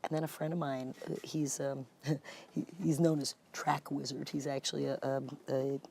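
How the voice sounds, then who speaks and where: A woman musician telling her story in a relaxed interview, animated and chatty, calling people "man.". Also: extremely low pitch, moderate pace, creaky, slight background noise. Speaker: guest musician and vocalist. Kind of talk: casual interview chat.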